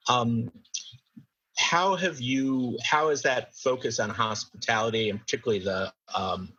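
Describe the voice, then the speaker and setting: A man interviewing a guest on the radio, rephrasing his questions haltingly with fillers. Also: medium pitch, pushed and bright, slightly rough, lo-fi sound.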